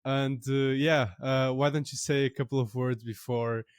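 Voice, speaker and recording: A man's voice: mid-range voice, fairly even intonation, normal speed, clean tone, dry recording, clean studio sound. A man hosting a podcast, asking long, hesitant questions full of fillers. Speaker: podcast host. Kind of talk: interview questions.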